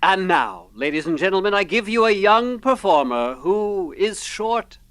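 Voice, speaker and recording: An actor playing a theatrical, grandiose master of ceremonies introducing acts in an old-time variety show. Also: male voice, high-pitched, very animated, unhurried, a bit bright, smooth, dry recording, heavily acted. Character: theatrical, grandiose male stage announcer, later exasperated.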